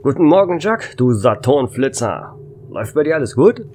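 A man's voice: mid-range voice, sing-song, moderate pace, darkish tone, close to an everyday voice. A man playing a wry, easygoing space station worker in a German radio play, with a casual, teasing manner. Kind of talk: amateur radio drama acting. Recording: faint noise and hum.

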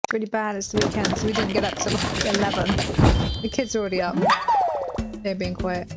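A woman's voice: medium pitch, little pitch movement, brisk, over loud music. A woman in her thirties from Herefordshire with a Welsh-border English accent, chatting casually on a live stream while she plays a game and reads viewer messages aloud. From England (Herefordshire). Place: small room indoors. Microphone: headset.

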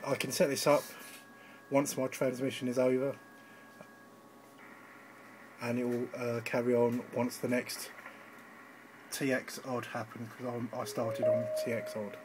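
A man's voice: medium pitch, level tone, unhurried, pauses often, noisy background. A man giving an informal, slightly hesitant live demonstration as an amateur radio operator, recorded on a handheld phone indoors. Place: indoors at a radio station desk.

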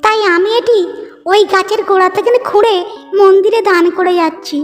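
A woman voicing a brave, determined and kind village girl in a children's cartoon, speaking in an earnest, deliberate way. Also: very high voice, steady tone, faint music behind.

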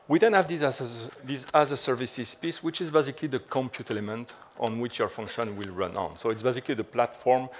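A man's voice: medium pitch, slight background noise. A middle-aged man from French-speaking Belgium with a French accent, giving a conference talk on stage in a chatty, filler-heavy way. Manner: chatty, frequent "so" and "uh" fillers, light self-deprecating humor. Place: large dark conference stage.

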